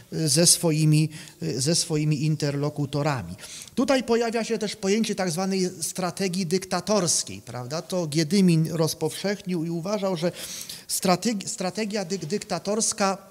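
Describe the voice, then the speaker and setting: A man delivers a partly improvised conference lecture. His voice sits somewhat high and he moves haltingly, repeating words and checking in with short questioning tags. The lectern microphone carries the ring of the hall. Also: darkish tone. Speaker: academic philosophy lecturer. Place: conference room, indoors. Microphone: microphone on a stand at a lectern. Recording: reverberant hall sound.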